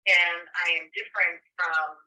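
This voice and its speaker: A deaf actress answering slowly in an interview and searching for her words. She is heard over a phone line. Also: fairly low pitch, fairly even intonation, pushed and bright. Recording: thin phone line, distant.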